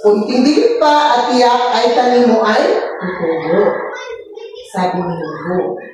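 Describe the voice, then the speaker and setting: Female elementary teacher, expressive and didactic storybook delivery, high register, very reverberant room with faint noise. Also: unhurried. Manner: expressive, didactic, prompting listeners. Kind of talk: storybook read-aloud and questions to children. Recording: echoey room, distant mic, faint noise.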